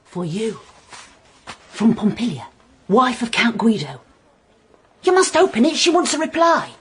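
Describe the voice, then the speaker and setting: A woman's voice: on the high side, sing-song, a little slow, a little hiss or hum. A woman playing a sly, insistent serving maid who coaxes and insinuates in a period radio drama. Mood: sly, coaxing. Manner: coaxing, knowing, insinuating.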